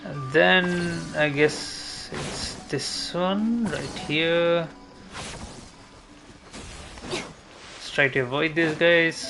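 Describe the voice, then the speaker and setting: A man giving casual, unhurried gameplay commentary, thinking aloud as he goes. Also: fairly high pitch, vocal fry. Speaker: game streamer. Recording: game music behind voice. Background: video game music.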